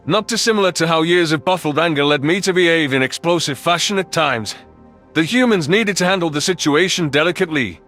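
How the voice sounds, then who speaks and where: A male narrator delivers a dramatic first-person sci-fi story. His voice is on the higher side, and faint music plays behind the scripted reading. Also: animated, a little fast, fairly bright tone. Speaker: audiobook narrator. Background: background music.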